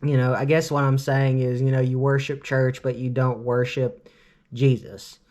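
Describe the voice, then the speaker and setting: A man from Cleveland in his late twenties talks straight to his vlog audience in an earnest, opinionated way. He rambles, restarts, and leans on filler words constantly, with a voice pitched at an average height for a man. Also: level tone, normal speed, darkish tone. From Cleveland, Ohio, US. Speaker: vlogger. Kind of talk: casual storytime monologue.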